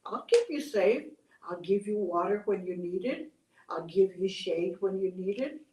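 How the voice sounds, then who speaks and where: A woman's voice: medium pitch, normal speed, warm tone, echoey room, recorded from a distance, close to an everyday voice, poor audio. A woman voicing a lonely male cactus, an earnest, eager and protective friend in a children's story.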